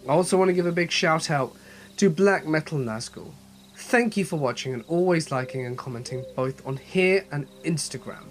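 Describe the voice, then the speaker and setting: A man's voice: fairly high pitch, moderate pace, soft background music. A man with a slight head cold, hosting and narrating a horror story channel in a scripted storytelling style.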